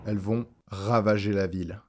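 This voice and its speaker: A man's voice: on the low side, fairly even intonation, moderate pace. A man presenting a video essay in scripted narration, with casual slang and joking asides. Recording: music behind the voice. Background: background music.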